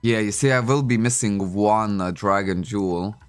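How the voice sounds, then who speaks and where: Chatty man, Lithuanian native, lowish voice. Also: normal speed, soft background music. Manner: chatty, thinking aloud with many fillers. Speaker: game streamer. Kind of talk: casual livestream commentary. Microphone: desk microphone.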